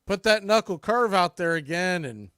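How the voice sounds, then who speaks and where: A man's voice: high voice, moderate pace, no echo. Dry-sounding baseball play-by-play man. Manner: steady calls, rising excitement on big plays. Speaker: play-by-play announcer.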